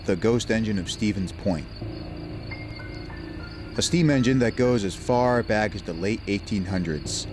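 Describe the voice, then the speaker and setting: Man playing a low-voiced, scheming locomotive storyteller. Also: close to an everyday voice. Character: scheming, smug male engine, spinning ghost tales. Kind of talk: audio drama character acting. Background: music, cricket and insect night sounds.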